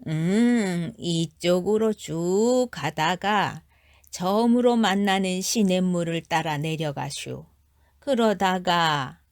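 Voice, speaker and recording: Storybook granny, played by a woman: kindly, rustic, very slow, drawn-out delivery. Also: fairly low pitch, no room sound, studio quality. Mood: unhurried, helpful. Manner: drawn-out, halting words. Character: slow-speaking kindly old countrywoman giving directions. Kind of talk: storybook character voice.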